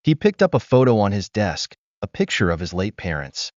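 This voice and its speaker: A man narrating a scripted moral tale in a smooth, expressive storytelling manner. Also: lowish voice, fairly quick, no room sound. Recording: dull dry recording.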